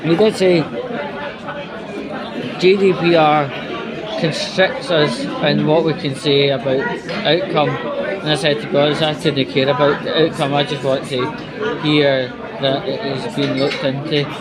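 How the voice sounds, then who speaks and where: A man giving a casual personal update to his viewers, speaking in a halting way with emphatic repetitions. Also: fairly high pitch, little pitch movement, low-quality recording. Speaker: vlogger. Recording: busy indoor public space, crowd noise. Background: loud crowd chatter in a large indoor space.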